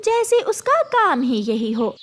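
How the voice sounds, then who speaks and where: High, lively woman storyteller. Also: slow pace, slight background noise. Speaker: story narrator, also voicing bird characters. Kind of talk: scripted children's story narration in Hindi.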